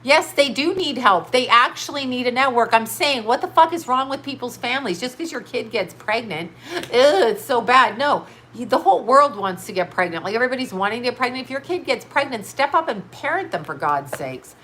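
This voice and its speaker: A woman's voice: on the high side, animated, fairly quick, fairly bright tone, slight background noise. A middle-aged woman with a stuffy nose, chatting bluntly and doing a tarot reading for live viewers. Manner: blunt, swears, laughs often, scattered. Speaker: tarot reader answering live chat. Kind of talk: casual live-stream chat and card reading.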